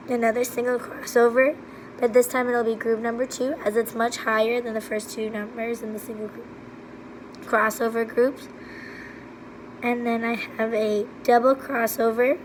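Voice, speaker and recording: A woman whose voice is hoarse and worn from laryngitis talks through a recorded presentation, one step after another. Her voice sits a little high and hardly rises or falls. A faint hiss lies under her words. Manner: steady, methodical, step-by-step. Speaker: student presenter. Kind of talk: recorded slide walkthrough.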